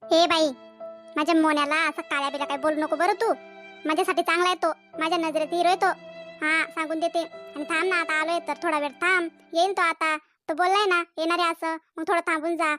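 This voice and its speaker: A woman's voice: very high-pitched, fairly even intonation, a little fast, a bit bright, faint music behind. A woman voicing a lovesick, emotional young village woman in a cartoon comedy, who pleads with and reproaches her sweetheart. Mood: pleading.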